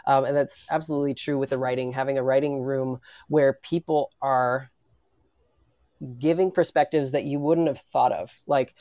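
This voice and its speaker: A man talks as a guest on a writing panel. His delivery is earnest and halting, with repeated words as he works toward each thought. The sound is close and very dry, with little room around it. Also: mid-range voice, darkish tone, no echo. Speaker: guest writer and voice actor.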